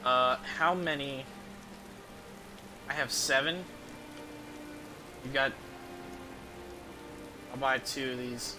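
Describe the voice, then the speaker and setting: A man's voice: medium pitch, slow pace, pauses often, background noise. A man casually commentating on his video game as a streamer, rambling and thinking aloud with offhand swearing.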